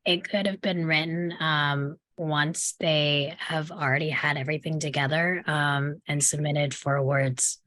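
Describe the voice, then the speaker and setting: Woman with a low voice, measured and halting in informal discussion, recorded very dry. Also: steady tone, fairly bright tone, no echo. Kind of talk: casual group discussion.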